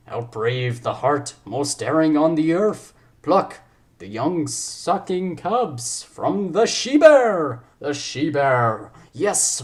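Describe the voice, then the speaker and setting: A man playing a proud foreign prince wooing a lady, declaiming Shakespeare grandly in an amateur stage reading. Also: mid-range voice.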